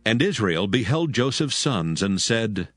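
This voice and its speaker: Animated low-voiced male scripture narrator. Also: measured pace, soft background music.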